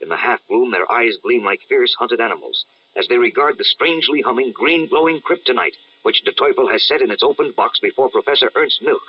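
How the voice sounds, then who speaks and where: A man with a husky voice narrating a radio serial in a dramatic, scripted style. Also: mid-range voice, lively intonation, fairly quick, lightly acted. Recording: dull lo-fi radio-style recording.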